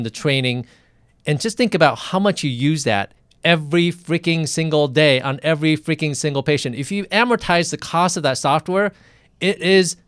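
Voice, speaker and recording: A physician hosts a relaxed online interview and speaks frankly about his own work. His voice is smooth and clear, recorded dry and close. He often restarts his sentences. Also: fairly high pitch, no echo. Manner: chatty, candid, halting restarts. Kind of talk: casual interview over video.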